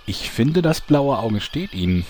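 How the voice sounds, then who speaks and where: A man's voice: medium pitch, sing-song, unhurried, a little mellow, a little hiss or hum. A man narrating a comedy audio drama with a wry, smug manner.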